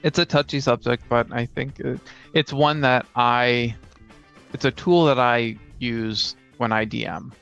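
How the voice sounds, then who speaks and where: A man co-hosting a casual chat about tabletop gaming, explaining his views in a measured way. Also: medium pitch, fairly even intonation, creaky, fairly bright tone, clean tone, faint music behind. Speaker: podcast co-host, game master and player. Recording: slightly dull lo-fi sound.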